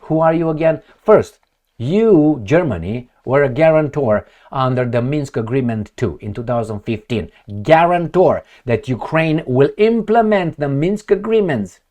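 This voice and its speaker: A man's voice: on the high side, lively intonation, a little slow, darkish tone. A man giving blunt, sarcastic political commentary, firing off rhetorical questions and swearing as he reacts to news reports.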